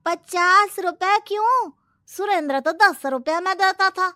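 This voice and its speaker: A woman voicing an indignant, complaining village customer in a cartoon moral story, exclamatory and drawn-out. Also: very high-pitched, sharp tone, no room sound, clean studio sound, pitched above the performer's own voice, full character voice.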